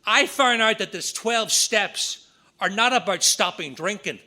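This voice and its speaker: A middle-aged man with a Northern Irish accent, giving an earnest talk at a recovery meeting with quick asides. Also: sits high, bright, forceful tone. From Northern Ireland. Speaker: AA speaker. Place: meeting room.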